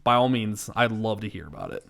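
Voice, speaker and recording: A man's voice: fairly low pitch, animated, fairly quick, gravelly, a bit bright. A man co-hosting a podcast in casual comedic banter about movies, self-deprecating and mock-exasperated. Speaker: podcast co-host.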